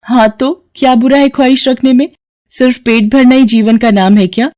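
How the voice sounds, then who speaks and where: A woman's voice: high voice, fairly even intonation, normal speed, mellow, pitched above the performer's own voice, natural voice. A woman voicing a humble, hardworking servant in a story, plaintive and respectful, with wistful longing. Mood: wistful, defiant.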